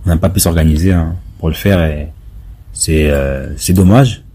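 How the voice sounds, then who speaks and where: A man in his thirties, born in France, answering interview questions as a member of parliament, halting with many fillers. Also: extremely low pitch, warm tone, slightly rough. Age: 30s. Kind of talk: casual interview talk.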